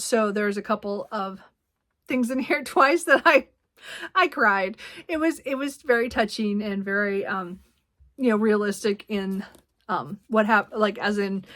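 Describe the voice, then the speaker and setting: A woman's voice: mid-range voice, a bit bright. A woman chatting casually as a book vlogger, in a giggly, effusive, rambling way. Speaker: book reviewer and vlog host.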